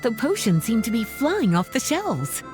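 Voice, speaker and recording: A woman's voice: fairly high pitch, sing-song, normal speed, over loud music. A woman voicing a self-assured, teasing adult mentor in a video game, with a playful manner. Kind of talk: video game cutscene voice acting.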